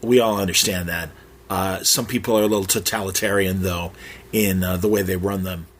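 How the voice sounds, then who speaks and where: A man with a fairly low voice hosts a podcast solo. His commentary is casual and chatty, a little halting as he shares his opinions. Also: steady tone, slight background noise. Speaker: podcast host.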